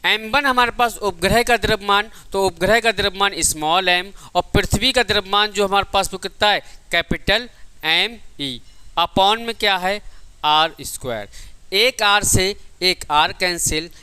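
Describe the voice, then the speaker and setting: A man's voice: high-pitched, unhurried, bright, forceful tone, clear, resonant tone, no echo. A man giving a physics coaching lecture, repeating key points and asking questions he answers himself. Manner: addresses students affectionately as child. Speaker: physics teacher. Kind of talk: exam coaching lecture, working through derivations.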